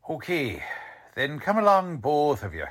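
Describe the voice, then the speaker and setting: Guy playing old-time radio detective, streetwise and suspicious, takes his time, lively up and down delivery. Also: fairly high pitch, heavily acted. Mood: suspicious, probing. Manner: colloquial, ungrammatical, deliberate questioning. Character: shrewd streetwise male investigator, quietly suspicious. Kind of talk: 1940s radio drama acting.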